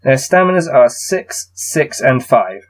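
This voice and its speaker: A man reading a gamebook aloud and adding low-key, wry muttered commentary as he plays. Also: very animated, slow pace. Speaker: solo gamebook player and reader.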